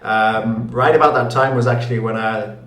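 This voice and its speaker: A man's voice: mid-range voice, steady tone, normal speed, a bit bright. A man, a guest answering interview questions with plenty of fillers and restarts. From Newcastle, England. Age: late 20s. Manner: thoughtful, many fillers and restarts, laughs easily. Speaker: guest, finance YouTuber. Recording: roomy echo.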